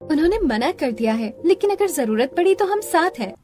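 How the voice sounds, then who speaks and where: Woman voicing a humble, high-voiced young daughter-in-law. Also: very animated, a little fast, darkish tone. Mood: earnest. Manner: polite, earnest. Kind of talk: melodramatic Hindi cartoon story dialogue. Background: music.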